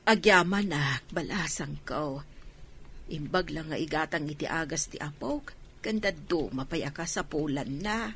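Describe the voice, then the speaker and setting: Casting: woman playing old village grandma for radio drama, gentle and thankful, husky, lowish voice. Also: lively intonation, a little slow, full character voice. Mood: grateful, humble. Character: grateful elderly village grandmother, gentle and reassuring. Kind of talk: Ilocano radio drama acting.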